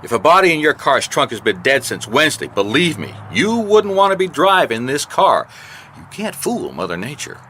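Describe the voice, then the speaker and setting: An actor playing a weary, wisecracking private investigator in a radio detective drama, with wry, exasperated deadpan delivery. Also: male voice, mid-range voice, normal speed, slight background noise. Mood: dry. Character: weary, wisecracking male private investigator narrating his case.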